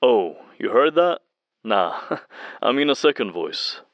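An eager, friendly tech fan in an audio drama, played by a man. He comes through a slightly muffled, dry phone line. He chats hesitantly in a medium-pitched voice. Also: big pitch swings, takes it slowly, no echo. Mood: excited, rambling. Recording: muffled band-limited phone call, dry.

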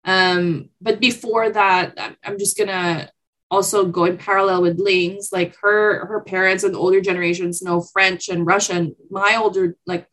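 A young woman from the Philippines, a podcast guest chatting in an animated, expressive way. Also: mid-range voice, low-quality recording. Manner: animated and expressive, quick laughs. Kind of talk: casual interview chat.

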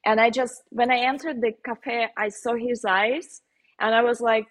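A woman painter with a Slovenian accent, speaking as a guest in a casual interview, pausing often with fillers and restarts. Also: on the high side, fairly even intonation. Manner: halting, many fillers and restarts, laughs often.

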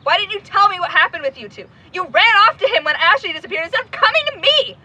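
A woman's voice: very high-pitched, lively intonation, fast talker, sharp tone, full character voice. An actress playing an intense, stubborn young woman investigator in an audio drama, blunt, sarcastic and sweary. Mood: angry, hurt. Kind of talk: naturalistic audio drama acting.

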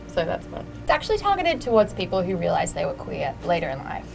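A young woman answers interview questions in a relaxed, chatty way, full of fillers and little self-deprecating asides. Her voice sits low and has a gravelly edge. Music plays clearly behind her. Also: quick pace.

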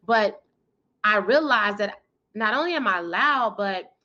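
A woman in her thirties or forties, guest in an interview, talking energetically and emphatically with a loud, powerful voice and frequent laughter. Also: mid-range voice, animated, a little slow, lo-fi sound. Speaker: guest, PR firm founder and speaker.

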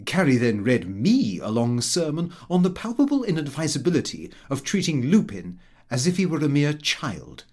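A man reading a comic first-person diary as an audiobook, in a prim, expressive, self-important manner. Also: a little high, moderate pace. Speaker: audiobook narrator.

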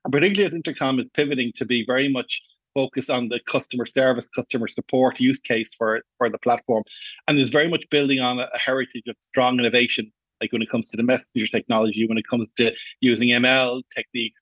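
A man's voice: mid-range voice, fairly even intonation, quick pace, fairly bright tone, husky, no echo, dull audio. A middle-aged Irish man giving conversational answers as a guest in an interview, explaining his work at length. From Ireland. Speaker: podcast guest, customer support executive.

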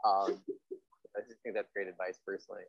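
A man's voice: low voice, little pitch movement, fairly quick, creaky, dull audio, heavy background noise. A man hosting a podcast interview in a casual, chatty, informal way.

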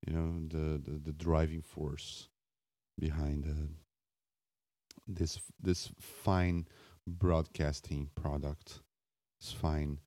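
A man hosting a solo podcast off the cuff in a raspy, just-woken voice, halting and searching for words with wry self-deprecation. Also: a bass voice, little pitch movement, mellow, no echo. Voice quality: raspy morning voice. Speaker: podcast host.

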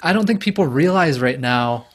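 A man speaking as a guest in a casual podcast interview over a video call, measured and thoughtful, with a fairly even voice. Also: a little high. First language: Japanese alongside English.

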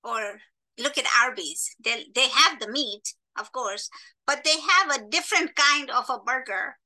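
High, very bright East African-born woman. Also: a little slow, poor audio. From Kenya and Tanzania. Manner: emphatic, instructive, checks in with "right?". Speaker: podcast guest, entrepreneur. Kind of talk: conversational storytelling and advice.

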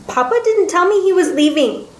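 Woman acting a high-pitched, pleading young girl. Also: expressive, fairly quick, echoey room, slight background noise. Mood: timid, upset. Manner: pleading, shrieking. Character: frightened, stubborn, childlike girl pleading for papa. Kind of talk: audiobook character voice.

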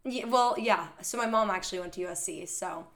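Slightly hoarse young woman, chatty guest. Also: medium pitch, brisk, a bit bright. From Los Angeles, US. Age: 20s. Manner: chatty, upbeat, lots of "like" and "you know". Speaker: podcast guest. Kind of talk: casual chat.

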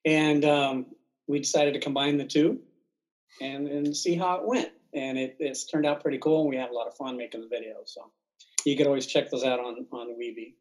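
Male guest, average pitch, casual chat, loose, easygoing. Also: fairly even intonation, a little fast, a little mellow, poor audio. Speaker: podcast guest.

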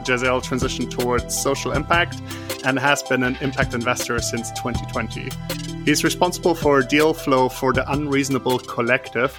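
An earnest man hosting a podcast, reading his lines in an upbeat, steady voice at a middling pitch. Clear music plays under him. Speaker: podcast host. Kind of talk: scripted ad read and interview intro.

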